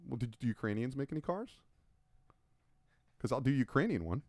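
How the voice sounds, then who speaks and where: A man's voice: mid-range voice, animated, fairly quick, darkish tone, no room sound, clean studio sound. A man co-hosting a casual podcast chat, rambling and self-mocking as he tells stories. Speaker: podcast co-host and logo designer.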